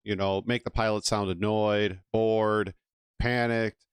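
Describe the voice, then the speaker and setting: A man's voice: on the low side, fairly even intonation, slow, clear, resonant tone, no room sound, clean studio sound. A man giving casual, rambling live commentary as a streamer reacting to a video.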